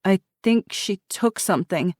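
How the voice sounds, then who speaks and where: Woman voicing a steady, scared teen girl; quiet, firm. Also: medium pitch, unhurried, no echo, clean studio sound, pitched above the performer's own voice. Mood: grim. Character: stubborn teenage girl, scared but steady.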